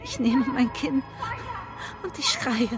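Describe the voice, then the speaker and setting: A woman's voice: high-pitched, expressive, takes it slowly, over music. An actress playing a desperate mother trapped in a burning house, speaking in breathless, urgent, repetitive monologue for a radio drama. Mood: frantic, desperate.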